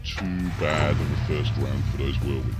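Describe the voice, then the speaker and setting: A man's voice: extremely low pitch, fairly even intonation, normal speed, over loud music. A man giving tactical commentary on a video game as he plays, talking through each move and reacting to it. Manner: narrates tactics and reacts to the action. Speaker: gaming video host.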